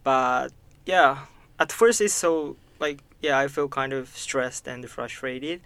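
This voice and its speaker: Bright-voiced young Japanese woman, hesitant. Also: extremely low pitch, takes it slowly, dry recording. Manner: hesitant, many "like" and "yeah" fillers. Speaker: guest. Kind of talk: casual conversation.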